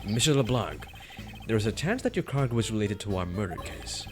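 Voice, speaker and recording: A man's voice: lowish voice, lively intonation, a little hiss or hum, lightly acted. A man reading as a stiff, formal prosecutor. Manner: measured, formal line reading. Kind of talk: voicing game dialogue.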